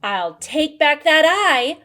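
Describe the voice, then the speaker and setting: A woman's voice: high-pitched, very animated, slow, bright, forceful tone, faint music behind, pitched above the performer's own voice, full character voice. A woman voicing a grumpy, spooky ghost in a children's storybook, exclaiming with emphatic indignation. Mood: indignant. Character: spooky, grumpy ghost shooing visitors away. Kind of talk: children's storybook character reading.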